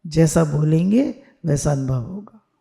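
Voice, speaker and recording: A woman's voice: sits low, expressive, unhurried, gravelly, warm tone. A woman spiritual teacher on a video call, leading listeners through affirmations they repeat after her, mixing Hindi with English phrases.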